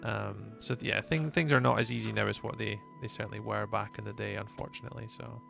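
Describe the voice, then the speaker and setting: A man's voice: lowish voice, fairly even intonation, fast, vocal fry, a bit bright, faint music behind. A Scottish man streaming live, chatting casually with his viewers in a rambling way, full of fillers and restarts. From Scotland. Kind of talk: casual chat with live chat while gaming.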